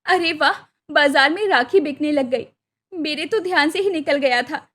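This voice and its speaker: A woman's voice: high-pitched, normal speed, a bit bright. A woman voicing a hopeful, devoted young village sister in a cartoon story, earnest and pleading. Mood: happy, hopeful. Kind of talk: Hindi cartoon moral story acting.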